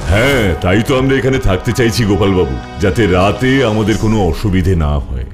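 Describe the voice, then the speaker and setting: Cartoon hero detective, male actor: deep, firm, fearless, questioning; music prominent underneath. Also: fast, close to an everyday voice. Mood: inquisitive, firm. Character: calm fearless male superhero detective, commanding and inquisitive. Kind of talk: animated cartoon voice acting. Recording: loud background music.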